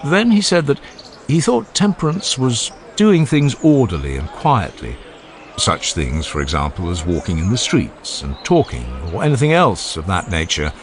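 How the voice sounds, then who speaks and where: A man reading dramatized scripted narration in a formal, expressive storytelling manner. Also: lowish voice, normal speed. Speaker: audiobook narrator.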